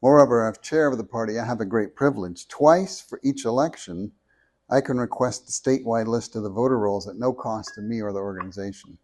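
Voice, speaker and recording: A soft-spoken man reading a prepared talk into a handheld microphone at a meeting, measured and deliberate, with small restarts. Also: mid-range voice. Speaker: speaker at a meeting. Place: meeting room with an audience.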